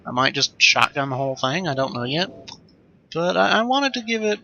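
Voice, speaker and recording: A man hosting a let's play with casual, improvised commentary, rambling and thinking out loud as he goes. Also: fairly high pitch, big pitch swings, brisk, vocal fry, lo-fi sound, slight background noise.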